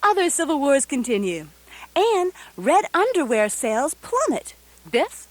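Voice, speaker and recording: A woman's voice: high voice, sing-song, a little slow, no room sound. A woman playing a gushing, empty-headed news anchor in a parody radio newscast. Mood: mock newsreading.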